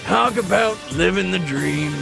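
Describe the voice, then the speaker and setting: High-pitched, gravelly, brash male narrator. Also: expressive, a little slow, over music. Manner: brash, punchy, joking. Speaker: co-host of a video game analysis show. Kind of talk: hyped comedic scripted narration.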